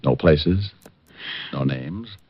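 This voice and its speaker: An American actor playing a tough, wisecracking private detective in old-time radio drama style, with slangy, dry delivery. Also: male voice, rumbling low, expressive, very slow, husky, heavily acted. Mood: gently pressing. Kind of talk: 1940s-50s radio detective drama acting. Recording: dull vintage radio recording.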